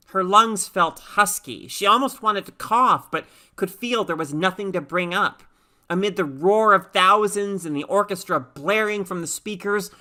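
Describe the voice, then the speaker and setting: A woman reading a short story aloud as an expressive, dramatic narrator. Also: low-pitched. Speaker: story reader.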